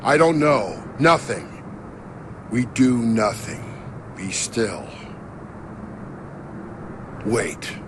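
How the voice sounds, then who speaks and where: A man playing a weary, regretful middle-aged creator of a digital world in a game cutscene, speaking gravely and haltingly. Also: mid-range voice, big pitch swings, background noise. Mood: resigned. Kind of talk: dramatic game cutscene acting.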